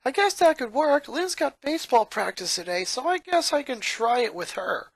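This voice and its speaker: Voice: sits high, big pitch swings, moderate pace, no echo, pitched above the performer's own voice, heavily acted. A story reader voicing an anxious, scheming boy who speaks hesitantly and carefully. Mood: resigned. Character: anxious, scheming middle-child boy, tentative and sheepish.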